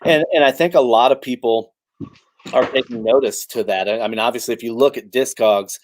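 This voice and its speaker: A man talking casually as a guest collector, halting and restarting, friendly and agreeable. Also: medium pitch, slight background noise. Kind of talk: casual chat about vinyl records.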